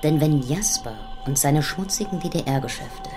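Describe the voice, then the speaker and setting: A hard-edged cleaning woman and amateur sleuth in a radio play. Her voice is very low for a woman, and her delivery is sardonic and defiant. Music runs behind her. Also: animated, unhurried. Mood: intent, scheming. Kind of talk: radio crime drama acting.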